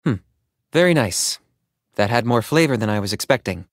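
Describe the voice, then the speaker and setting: A man voicing a calm, pragmatic young hunter in a video game, businesslike, deadpan and composed. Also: mid-range voice, moderate pace, no room sound, studio quality, lightly acted. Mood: dry, matter-of-fact. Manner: deadpan, matter-of-fact, composed. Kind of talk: video game character voice acting.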